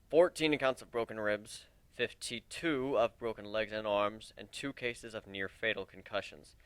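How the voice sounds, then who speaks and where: A stoic, battle-worn samurai, played by a man in a story reading. He is grave and understated, pitched low, with a dry, close sound. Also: fairly bright tone, smooth, no echo. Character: grim, weary, scarred samurai warrior, stoic and measured. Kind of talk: dramatic reading of fan fiction.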